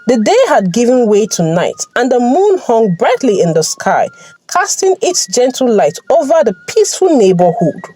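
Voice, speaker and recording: A woman's voice: a little high, very animated, normal speed, dark tone, low-quality recording. A woman storyteller reading a scripted folktale narration.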